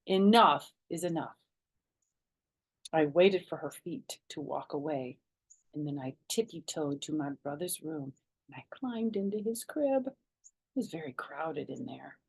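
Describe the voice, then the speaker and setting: A woman's voice: deep voice, measured pace, vocal fry, husky, poor audio. A woman reading a children's chapter book aloud as a storyteller, expressively acting out the characters. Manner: animated, acts out the characters.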